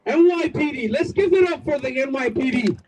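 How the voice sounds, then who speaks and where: A man's voice: extremely high pitch, expressive, a little fast, noisy background. A man from Bangladesh giving an emphatic outdoor community speech, rallying the crowd with repetition and jokes. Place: outdoors at a street corner.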